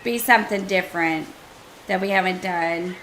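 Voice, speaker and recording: Chatty woman streamer, a bit hoarse. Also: fairly low pitch, normal speed, a bit bright, a little hiss or hum, poor audio. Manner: chatty, thinking aloud, talks to chat. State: hoarse, losing her voice. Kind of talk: casual gameplay commentary.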